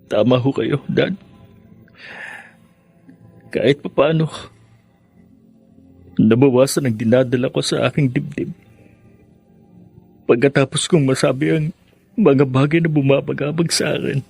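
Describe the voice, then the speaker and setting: Earnest, pleading grieving son. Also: male voice, medium pitch, steady tone, unhurried, gravelly, long pauses, a little mellow, husky, faint music behind. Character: torn young man grieving his mother. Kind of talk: radio drama acting.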